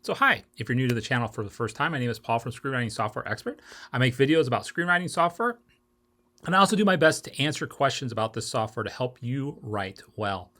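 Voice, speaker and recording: A man in his forties hosting an informal explainer tutorial, with a halting delivery that often stumbles and corrects itself. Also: medium pitch, animated, sharp tone. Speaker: video tutorial host. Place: small home office with bookshelves.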